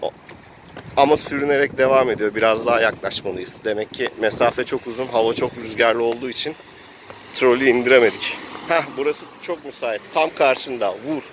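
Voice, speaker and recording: A man with a mid-pitched voice hosts an improvised role-play adventure like a game master. He tells the action in a casual storytelling way, adding his own spoken sound effects. He is recorded outdoors, with light urban and vehicle noise behind him. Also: a little fast.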